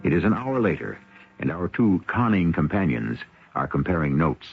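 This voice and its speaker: A man's voice: low-pitched, very animated, normal speed, gravelly, slightly rough. A man hosting a radio mystery series, giving scripted dramatic narration with wry, formal phrasing. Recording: dull old radio broadcast with music.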